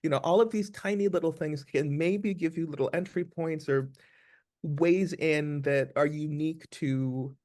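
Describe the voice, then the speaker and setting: A man with a somewhat higher-pitched voice talks as an actor in an interview. He explains in a conversational style, thoughtfully and deliberately, laying things out point by point. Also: darkish tone.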